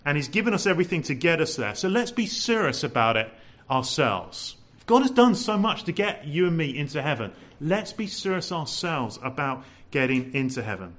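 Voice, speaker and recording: A man preaching a sermon to a congregation, animated and full of rhetorical questions. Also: a little high, moderate pace, hardly any pauses. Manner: says he has a loud voice.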